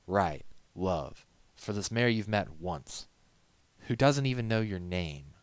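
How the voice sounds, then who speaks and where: A man's voice: low-pitched, animated, slow, clear, resonant tone, no room sound, pitched above the performer's own voice. A man voicing a practical male pony puppet-maker in a storybook reading, dry, teasing and matter-of-fact.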